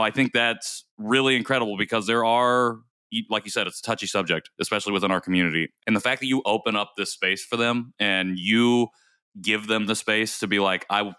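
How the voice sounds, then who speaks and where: Man, podcast host, low bright voice, dry close sound, earnest, trips over words. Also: smooth, no echo. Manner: earnest, self-correcting, stumbles over words. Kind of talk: casual interview conversation.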